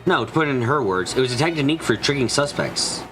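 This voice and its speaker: A man streaming a visual novel, reading aloud and chatting in an animated, rambling way. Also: medium pitch, fast. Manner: animated, rambling, swears freely. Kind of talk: live game stream, casual chat and dramatic reading. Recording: music behind the voice. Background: game music.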